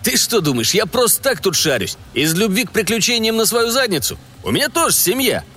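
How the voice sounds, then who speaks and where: A man doing a loud, crude, greedy stalker. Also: high voice, a little fast, husky, pitched above the performer's own voice, full character voice. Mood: angry. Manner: loud, excited, coarse. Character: boisterous greedy male stalker, crude slang.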